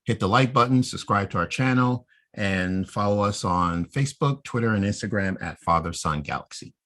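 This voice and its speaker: Podcast host: American male, low-pitched voice, informal discussion style. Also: normal speed, a bit bright.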